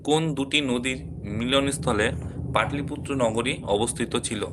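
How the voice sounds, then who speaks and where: A man's voice: medium pitch, normal speed, noisy background. A man teaching a quiz lesson, calling out questions and answers in short, separate phrases. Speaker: quiz teacher at a coaching centre.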